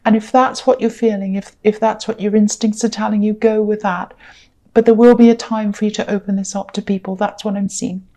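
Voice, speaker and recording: Hoarse, croaky woman reading tarot. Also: mid-range voice, steady tone, fairly quick, mellow. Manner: rambling, reassuring, many ums and restarts. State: hoarse, voice going. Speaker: tarot reader. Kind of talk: casual spoken tarot reading.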